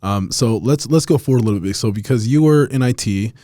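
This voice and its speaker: A man's voice: medium pitch, a little fast, mellow, no room sound. A man hosting a casual podcast interview, friendly and hyped, calling his guest bro and dude. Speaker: podcast host.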